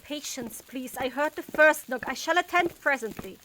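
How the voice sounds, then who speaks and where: Woman as a high-voiced, proper village lady. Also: moderate pace, noisy background, lightly acted. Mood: impatient. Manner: formal, proper. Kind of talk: audio drama acting.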